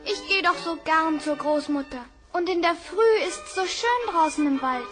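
A woman's voice: very high-pitched, moderate pace. A sweet little girl. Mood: cheerful. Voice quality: bright childlike, eager. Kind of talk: 1960s record radio play. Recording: vinyl record with music.

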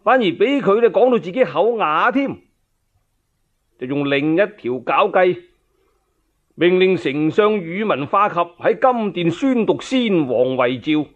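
A man's voice: sits high, lively intonation, unhurried, lo-fi sound. A man with a Cantonese accent narrating as a storyteller in a dramatic, traditional radio style.

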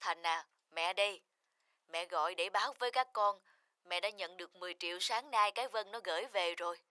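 Low-voiced, kindly older mother. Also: fairly quick, sharp tone. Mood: earnest, gentle. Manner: earnest, motherly. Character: kindly older mother, earnest and gently advising. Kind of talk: cartoon dubbing.

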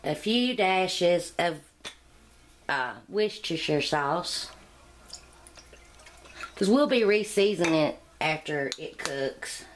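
A woman's voice: on the low side, expressive, takes it slowly, long pauses, noisy background. A middle-aged woman, chatting homily through a cooking demonstration in her home kitchen. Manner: chatty, homey, rambling asides. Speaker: cooking channel host. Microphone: camera mic, speaking off camera.

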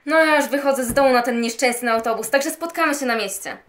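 Cheeky young woman played by a student actress, high voice. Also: fast, fairly bright tone. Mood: casual, joking. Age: young adult. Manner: teasing, colloquial. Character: cheeky, self-assured young woman going out partying. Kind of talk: amateur student radio drama acting. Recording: noisy amateur recording with clicks.

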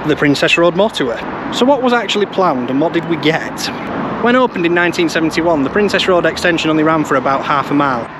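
Male vlog presenter, mid-pitched, informative walk-and-talk commentary, recorded outdoors with noticeable traffic noise. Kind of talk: informative location commentary to camera. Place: outdoors beside busy urban roads. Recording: outdoor street noise from passing cars.

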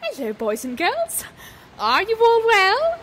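A woman's voice: very high voice, wildly swooping pitch, slow pace, a bit bright, slight background noise, full character voice. A woman playing a bawdy, teasing Christmas spirit as a gushing, theatrical pantomime dame. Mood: cheeky, playful. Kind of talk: pantomime audience banter.

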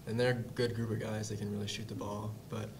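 A young man, a college lacrosse player answering postgame press questions at a table mic, plain and steady. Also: fairly low pitch, fast, noisy background. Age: young adult. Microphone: table mic on stand.

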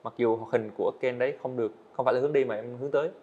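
Fast-talking earnest eighteen-year-old man. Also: medium pitch, fairly even intonation, darkish tone, lo-fi sound. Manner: earnest, storytelling, answers politely with "dạ vâng". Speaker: guest, animator. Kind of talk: podcast interview. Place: indoor studio set.

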